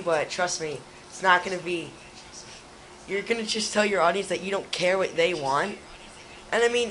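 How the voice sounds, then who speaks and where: Raspy young man getting over a cold. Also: high-pitched, a bit bright, a little hiss or hum, poor audio. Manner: rambling, restarts often. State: hoarse from a cold. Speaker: co-host. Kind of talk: casual chat.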